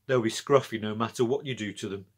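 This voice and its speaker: A man's voice: on the low side, fast talker, slightly rough. A man voicing a mocking older brother, a scornful boy in a storybook. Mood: taunting.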